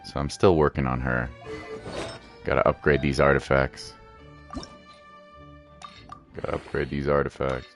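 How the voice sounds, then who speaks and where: A man's voice: a bass voice, level tone, unhurried, creaky, pauses often, soft background music. A man giving casual, playful gameplay commentary as a streamer, often repeating his phrases. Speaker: game streamer.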